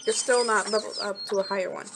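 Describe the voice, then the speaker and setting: A woman giving casual let's-play commentary, excitable and chatty, talking straight to the game characters and repeating herself. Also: mid-range voice, fast, vocal fry, noisy background. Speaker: gameplay commentator. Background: game music.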